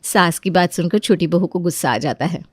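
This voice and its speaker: A woman's voice: lowish voice, a little fast, a little mellow, no room sound, clean studio sound. A woman reading scripted narration as the storyteller of a family tale.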